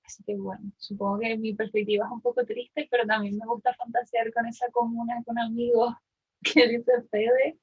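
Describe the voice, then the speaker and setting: A woman's voice: fairly high pitch, level tone, moderate pace, slightly rough, narrow, tinny audio. A woman in her twenties from Argentina, talking candidly and casually in a personal, reflective way with youthful slang. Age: 20s. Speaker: participant in a group discussion.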